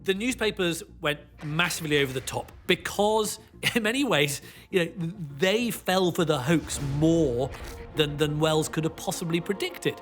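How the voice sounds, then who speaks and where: A man's voice: fairly high pitch, animated, moderate pace, soft background music. A man giving emphatic, wordy, informal commentary in a documentary interview.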